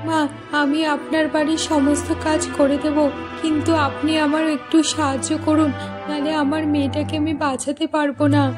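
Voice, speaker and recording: A woman's voice: sits high, level tone, moderate pace, music in the background, close to an everyday voice. A woman voicing a humble, pleading poor village mother in cartoon story dialogue. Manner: pleading, deferential.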